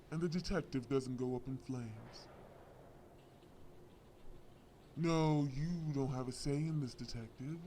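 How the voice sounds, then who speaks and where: A man's voice: medium pitch, darkish tone, a little hiss or hum, natural voice. A man playing a possessive, mock-sweet crime villain in an audio roleplay, taunting with theatrical politeness. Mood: coaxing, menacing. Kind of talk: scripted audio roleplay acting.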